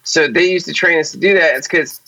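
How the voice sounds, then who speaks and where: Rambling, bright-voiced drummer host. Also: male voice, a little high, a little fast, highs cut off. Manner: rambling, explanatory, with fillers and laughs. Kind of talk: casual podcast chat.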